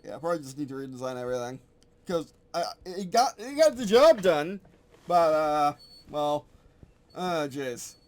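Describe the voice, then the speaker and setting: A man's voice: on the high side, very animated, normal speed, a little mellow. A man casually thinking aloud at his computer as he reworks his game rules, rambling and correcting himself as he goes. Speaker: game designer streaming his work.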